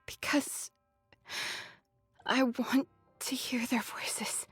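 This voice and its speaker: A gentle young religious soldier girl from a video game, mourning and solemn. Her voice is high and soft, unhurried and pensive, recorded very dry over background music. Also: flat delivery, slightly rough, no room sound, heavily acted. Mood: solemn, wistful. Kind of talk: dramatic video game voice acting.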